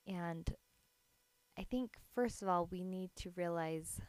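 A woman hosting a podcast in an earnest, encouraging way, her voice raspy because it is early morning. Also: on the low side, takes it slowly, darkish tone, no room sound. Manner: earnest, encouraging, laughs lightly. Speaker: podcast host. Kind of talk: casual solo podcast talk. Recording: dry close mic.

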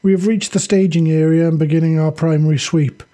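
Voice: medium pitch, fairly even intonation, fairly quick, mellow, lightly acted. A narrator as a snarky female condor scout. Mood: clipped, businesslike. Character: snarky female condor scout leader, crisp military radio talk.

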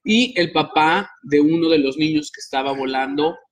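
A man's voice: a little high, moderate pace. A man hosting a podcast, telling stories animatedly with many restarts. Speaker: podcast host. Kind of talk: casual interview chat.

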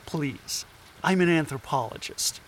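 Casting: male actor as scatterbrained anthropologist for audio drama. High register, stammering, earnest. Noisy rainy ambience. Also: animated. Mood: awkward, curious. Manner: halting, stammering. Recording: clearly noisy, rain-like ambience behind.

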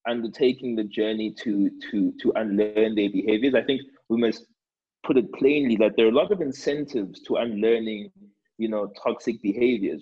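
Husky guy on a panel, lowish voice, keeps restarting words as he thinks out loud. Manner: halting, repeats words while thinking aloud. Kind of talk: reflective panel discussion. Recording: dull lo-fi recording.

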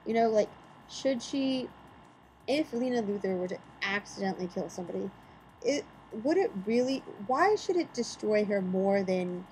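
A woman's voice: mid-range voice, expressive, normal speed, a little mellow, a little hiss or hum, rough recording. A woman co-hosting a podcast in casual chat, arguing her points with rhetorical questions. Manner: opinionated, builds arguments with rhetorical questions. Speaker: podcast co-host.

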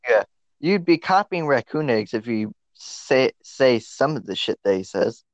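A man's voice: medium pitch, sing-song, measured pace, dry recording. A man co-hosting a casual podcast, cracking crude jokes and doing mock ad reads. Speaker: podcast co-host. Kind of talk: casual chat. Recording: dry, dull lo-fi audio.

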